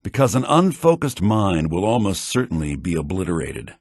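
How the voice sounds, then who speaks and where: An actor playing a calm, stern magic mentor in a fantasy video game, measured and authoritative, in a hushed manner. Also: male voice, sits low, breathy edge, no room sound, clean studio sound, full character voice. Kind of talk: video game character dialogue.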